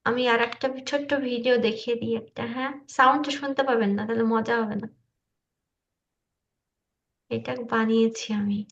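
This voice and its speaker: Woman tutor, high flat voice, dull online-call audio, hesitant informal walkthrough. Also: normal speed, creaky, pauses often. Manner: hesitant, checks listeners understand. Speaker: tutor demonstrating video animation software. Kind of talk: informal screen-share tutorial. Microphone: online meeting call.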